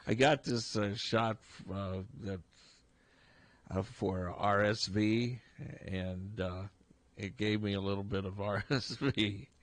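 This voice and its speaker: A man's voice: lowish voice, fairly even intonation, slow, no echo. An older man hosting a casual radio sports talk show, congested and talking in a rambling, chuckling way. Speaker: radio host. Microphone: studio broadcast mic.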